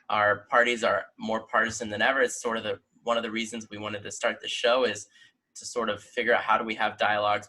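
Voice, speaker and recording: American male, twenties, bright forward voice, lowish pitch, podcast host, casual discussion. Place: indoor room at home, video call.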